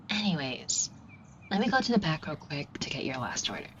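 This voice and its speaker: A woman playing a shy, flustered florist who talks to the listener in a hesitant, colloquial, self-deprecating way in an audio roleplay. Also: sits low. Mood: playful, teasing. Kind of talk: scripted roleplay acting addressed to the listener. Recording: dull muffled audio with clear noise. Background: shop ambience sound effects.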